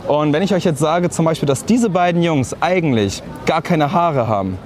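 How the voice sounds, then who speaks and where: A man running a casual street survey, upbeat and chatty, affirming answers quickly. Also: fairly high pitch, lively intonation, a little mellow. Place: busy street outdoors. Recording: crowd noise from the street.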